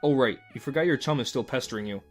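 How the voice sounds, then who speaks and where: A male narrator reads a scripted second-person story with a measured, dryly formal delivery. His voice sits at a middle pitch, with soft music playing behind it.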